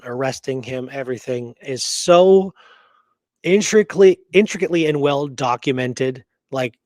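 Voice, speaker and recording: A man's voice: a little high, dry recording. A man hosting a casual history discussion, opinionated and emphatic, repeating words for stress. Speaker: host of a history talk show.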